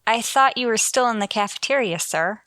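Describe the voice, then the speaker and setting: A woman playing a wry, quick-witted schoolteacher with deferential sarcasm, in an old-time radio sitcom style. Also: a little high, lively intonation, pushed and bright, dry recording. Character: wry, quick-witted female high school English teacher.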